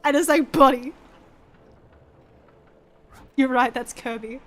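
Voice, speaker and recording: A young woman in her twenties with a high, bright voice chats casually on a livestream. She rambles loosely, swears freely and slips into animated quotes. Faint music plays behind her. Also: takes it slowly. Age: 20s. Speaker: streamer, VTuber host. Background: background music.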